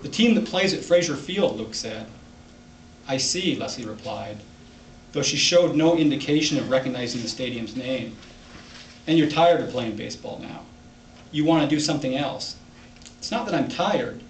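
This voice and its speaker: A man's voice: medium pitch, expressive, normal speed, pauses often. A man reading his own literary short story aloud to a live audience as its author. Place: library event room, indoors. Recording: echoey room, distant mic, background noise.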